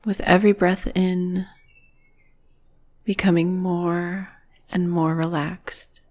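A woman guiding a meditation in a soothing, breathy manner, with phrases often trailing off into a whisper. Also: lowish voice, flat delivery, slow pace, darkish tone. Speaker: meditation guide. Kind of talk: guided meditation narration.

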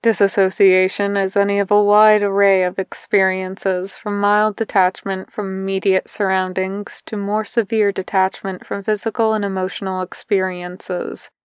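A woman reading a fan fiction story aloud, in slow, hushed and fragmented narration. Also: medium pitch, little pitch movement, smooth, dry recording. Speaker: podfic reader.

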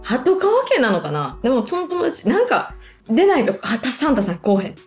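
A woman's voice: high-pitched, huge pitch swings, a little fast, a little mellow, echoey room, faint music behind. A woman with a Kansai accent in casual chat as a podcast co-host.

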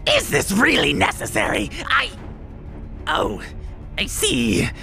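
A man's voice: very high-pitched, huge pitch swings, takes it slowly, vocal fry, bright, forceful tone, soft background music, exaggerated voice. A man voicing a pompous, easily exasperated paladin villain leader in a comedic cartoon, speaking grandly. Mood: haughty, wary.

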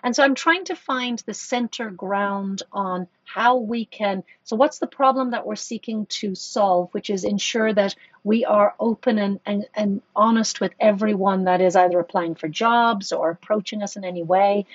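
Woman, executive guest, husky mid voice, dull dry podcast sound, thoughtful and hesitant. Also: medium pitch, normal speed, few pauses, no echo. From Ireland. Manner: thoughtful, many restarts and fillers. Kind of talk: conversational podcast interview.

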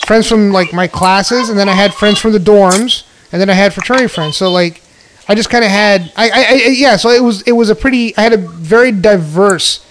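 A man in his forties hosting a podcast, chatty and quick to jump in with stories of his own. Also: high voice, noisy background. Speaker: podcast host. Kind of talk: casual interview chat.

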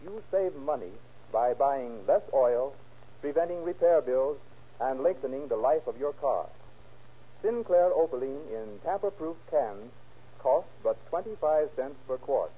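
A male American announcer reads scripted 1930s radio copy. His delivery is formal and emphatic, with a husky edge. The recording is dull and muffled. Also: medium pitch, lively intonation, unhurried. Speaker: announcer and narrator.

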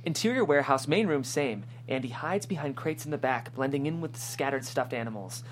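Deep voiced lady narrating a movie script at a table read, slight background noise. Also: fairly quick. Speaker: narrator reading stage directions.

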